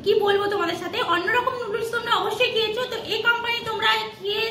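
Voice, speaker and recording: A woman's voice: extremely high pitch, fairly even intonation, rapid-fire, pushed and bright. A middle-aged woman chatting casually to viewers as she narrates her home cooking step by step, with little asides. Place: home kitchen. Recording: echoey kitchen with clear sizzling and stirring noise. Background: frying sizzle, stirring.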